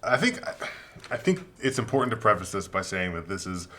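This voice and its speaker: A man giving his take as a panelist in a casual film review chat, informal and halting, often repeating himself. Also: low voice, very animated, sharp tone.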